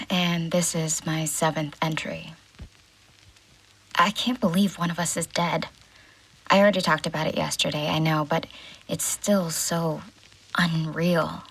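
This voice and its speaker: A woman's voice: on the low side, low-quality recording. A woman playing a shaken, disbelieving ship's doctor, recording a confessional audio diary in a hesitant voice that tends toward a murmur. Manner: hesitant, halting, often dropping to a breathy murmur. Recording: loud music under the voice.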